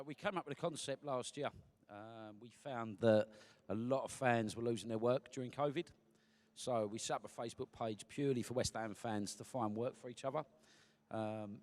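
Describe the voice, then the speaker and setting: A man's voice: mid-range voice, fairly even intonation, normal speed, a little mellow. A man, a fan group organiser, speaking earnestly and plainly in an interview with a few hesitant fillers. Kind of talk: interview answers.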